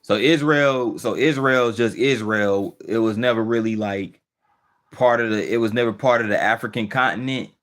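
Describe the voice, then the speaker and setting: A man's voice: mid-range voice, normal speed, a bit bright. A man hosting a casual livestream, thinking aloud with many restarts and fillers as he answers viewer comments. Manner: easygoing, questioning. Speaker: livestream host. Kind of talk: casual live chat, reading viewer comments.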